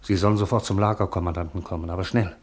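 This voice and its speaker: A hushed, husky messenger. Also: male voice, sits low, steady tone, racing. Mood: hushed urgent. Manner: hushed, urgent. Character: hushed urgent camp messenger, man.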